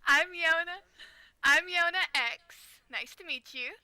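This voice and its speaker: A woman's voice: very high voice, a little slow, pushed and bright, low-quality recording. A young woman co-hosting a casual podcast, giggly and teasing. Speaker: podcast co-host. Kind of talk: casual chat.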